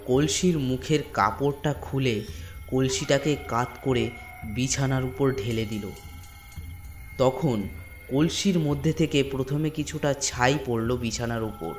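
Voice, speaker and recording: A man narrating a scripted folk ghost story in measured, short phrases. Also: mid-range voice.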